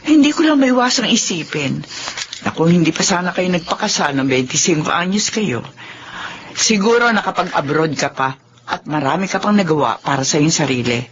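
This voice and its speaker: A woman's voice: fairly low pitch, lively intonation, moderate pace, natural voice. Woman acting a fretful, nagging mother, swooping intonation. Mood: regretful. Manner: reproachful, fussing. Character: fretful, nagging mother of a married adult son. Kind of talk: Filipino radio drama acting. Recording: dull lo-fi radio recording, faint noise.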